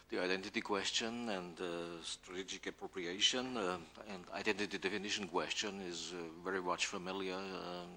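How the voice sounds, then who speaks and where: A man answering questions as an academic panelist, halting and repeating words, often adding a questioning "yeah", with some room noise. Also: low voice, gravelly, sharp tone. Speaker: academic panel speaker, legal scholar. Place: university conference hall.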